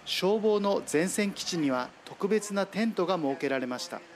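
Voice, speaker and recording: A man's voice: high voice, expressive, normal speed. A man reading scripted narration for a television news report as its reporter. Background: background music.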